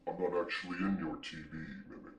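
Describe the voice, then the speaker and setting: A sly demon acted by a man speaks in a booming low bass. He is scheming and condescending, with slow, mock-polite phrasing, as in a comedy sketch. The sound is echoey and set back from the mic. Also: steady tone, close to an everyday voice. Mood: smug. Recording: echoey room, distant from the mic.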